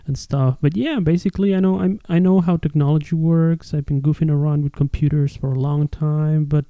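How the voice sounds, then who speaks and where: A man's voice: on the high side, steady tone, brisk, clear, resonant tone, no echo, narrow, tinny audio. A man from Ecuador hosting a casual podcast interview in an enthusiastic, chatty way.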